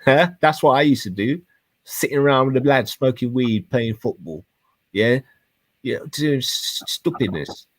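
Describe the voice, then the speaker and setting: A British man in his thirties in a heated debate, speaking in halting, insistent, repetitive bursts with sweary slang. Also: medium pitch, animated, no room sound. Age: 30s.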